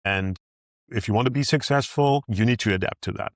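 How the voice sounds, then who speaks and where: A man's voice: fairly low pitch, brisk, gravelly. A middle-aged man from northern Germany with a German accent, answering interview questions earnestly and upbeat. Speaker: guest, managing director. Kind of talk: in-person interview.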